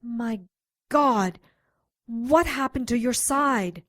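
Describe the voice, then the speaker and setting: A woman's voice: a little high, extremely slow, no room sound, studio quality. A woman playing a detective's concerned, insistent companion in a radio drama. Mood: puzzled, probing.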